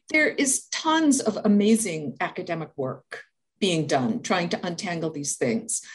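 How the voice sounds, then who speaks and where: An academic woman answers at length in a measured, lecturing way. She speaks at a mid-range pitch and builds each point steadily over a video call. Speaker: academic guest. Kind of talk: explanatory interview answer.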